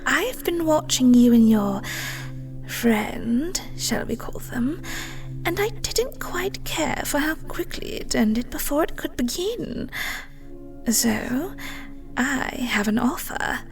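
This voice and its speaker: Woman acting as haughty goddess of love, flirtatious, breezy condescension, higher pitch, drawn-out pacing, audio roleplay. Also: very animated, soft background music, full character voice, poor audio. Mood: cool, persuasive.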